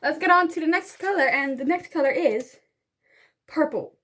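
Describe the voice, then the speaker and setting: A young woman whose first language is Dutch, with a notably high voice. She talks casually and enthusiastically, rambling and giggling as she recommends books in a relaxed vlog. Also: normal speed. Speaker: book vlogger. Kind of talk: casual book review vlog.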